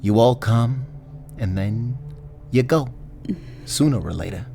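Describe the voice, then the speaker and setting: A man's voice: medium pitch, slow pace, creaky, mellow, faint music behind. A man playing a cocky, teasing Grim Reaper in a fantasy audio roleplay, talking like a smug, slangy wise guy. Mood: playful, explaining.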